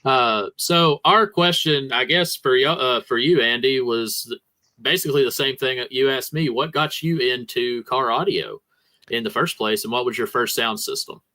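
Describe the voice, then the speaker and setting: A man putting a question to his guest on a live stream, starting out hesitant and halting. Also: medium pitch, pushed and bright. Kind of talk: asking interview questions.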